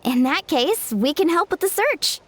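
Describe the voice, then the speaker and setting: Bubbly, high-pitched young adventurer. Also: female voice, moderate pace. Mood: helpful, upbeat. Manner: excitable, friendly, expressive. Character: cheerful, bubbly, energetic young adventurer woman. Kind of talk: video game voice acting.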